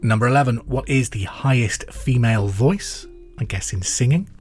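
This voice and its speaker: A middle-aged man hosts a trivia podcast as quizmaster. He reads each question and answer clearly and deliberately, at an ordinary male pitch. Music plays underneath his voice. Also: a little mellow. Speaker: pub quiz podcast host. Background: music bed.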